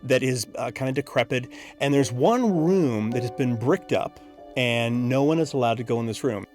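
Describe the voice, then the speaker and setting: A man talking in an animated, earnest way about a drama in an interview. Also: medium pitch, normal speed. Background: music.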